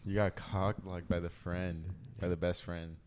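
Young male co-host, low pitch, casual chat, slang and swearing, exclamatory. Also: little pitch movement, a little slow, a little mellow, slight background noise. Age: young adult. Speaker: podcast co-host.

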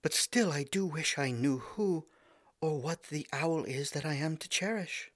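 A man putting on a pleading young princess, pitched high. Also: measured pace, no room sound, clean studio sound, lightly acted. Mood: anxious pleading.

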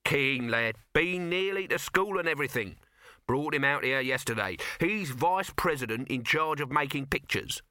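Man voicing a pushy, swooping, bright-voiced film promoter. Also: on the high side, expressive, moderate pace, dry recording, pitched above the performer's own voice. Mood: enthused. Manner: gushing, bossy, dismissive. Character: pushy, excitable, slick male film promoter.

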